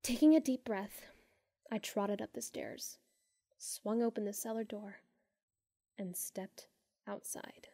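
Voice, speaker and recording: A woman's voice: medium pitch, steady tone, vocal fry, dry recording. Woman narrating a story, dry close sound. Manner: measured, sinking to hushed tones in tense moments. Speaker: audiobook narrator. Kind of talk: first-person story narration.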